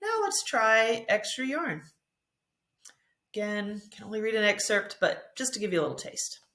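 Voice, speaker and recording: A woman's voice: medium pitch, sing-song, moderate pace, a bit bright. A woman speaking as a teacher, giving a conversational, encouraging tutorial presentation. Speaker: teacher presenting a reading app.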